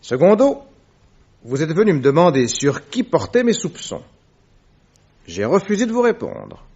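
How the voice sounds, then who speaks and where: A man's voice: on the high side, huge pitch swings, measured pace, darkish tone, husky, full character voice. A man playing a shrewd, commanding detective in a French radio mystery, speaking with precise, methodical, theatrical assertiveness. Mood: cold, accusing. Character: shrewd, commanding male detective laying out an accusation. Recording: dull, lo-fi old radio recording.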